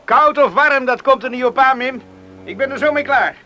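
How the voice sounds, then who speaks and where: An actor playing an easygoing, evasive young farm son, eager to placate, in a Dutch radio play. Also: male voice, extremely high pitch, normal speed, sharp tone. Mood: placating. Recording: noisy old radio play recording.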